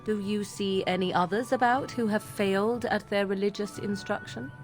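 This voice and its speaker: A woman's voice: medium pitch, steady tone, normal speed, soft background music, natural voice. An actress playing a cool, sardonic witch who makes dry, cutting remarks in a video game.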